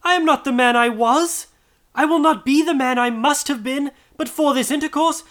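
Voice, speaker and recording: A man's voice: fairly high pitch, animated, moderate pace, pushed and bright, pitched above the performer's own voice. Scrooge, a man doing a frightened old miser. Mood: desperate. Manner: emotional, theatrical delivery. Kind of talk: audiobook character voice.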